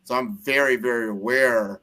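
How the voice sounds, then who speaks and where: A man from the United States with a fairly low voice, speaking conversationally as the host of an interview. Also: steady tone, moderate pace, sharp tone, poor audio. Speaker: show host.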